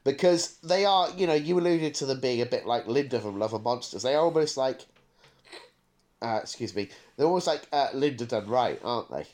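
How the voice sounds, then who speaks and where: Male podcast co-host, mid-pitched, conversational and discursive, thinks out loud. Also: animated, fairly quick. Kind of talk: casual podcast chat.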